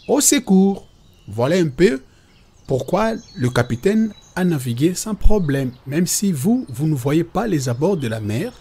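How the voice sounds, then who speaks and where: A man's voice: fairly high pitch, warm tone, slightly rough, exaggerated voice. A man playing a patient cartoon father who explains God and nature to children in a reassuring, teacherly way. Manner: didactic, reassuring.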